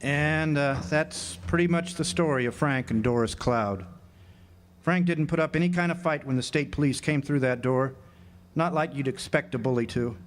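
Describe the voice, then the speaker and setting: A man's voice: on the high side, normal speed, a little hiss or hum, natural voice. A man playing a wry drifter in a radio drama, telling his tale in a folksy way. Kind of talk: radio drama narration and dialogue. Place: live amateur radio drama performance at an amphitheater.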